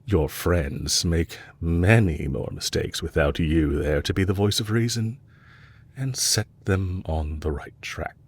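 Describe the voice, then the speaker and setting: A man playing a composed, courteous villain leader in an audio roleplay, speaking in a measured, formal way to a captive he is fond of. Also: low-pitched, husky, full character voice. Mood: earnest, confessional.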